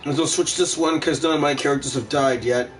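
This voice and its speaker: A man giving casual gameplay commentary, excitable and shouting at good plays, reading card text aloud in a measured way. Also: on the high side, faint music behind. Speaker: gaming channel host.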